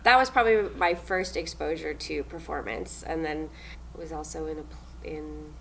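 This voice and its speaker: Young woman stage manager, from new zealand, loud and laughy, gravelly low voice. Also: moderate pace, slight background noise, poor audio. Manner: loud and upbeat, laughs often. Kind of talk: casual interview answers.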